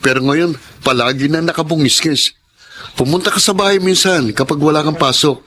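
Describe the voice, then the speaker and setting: A man's voice: on the high side, a little fast, fairly bright tone. An actor playing a devoted, stubborn young working-class father in a Filipino radio drama. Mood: cheerful, earnest.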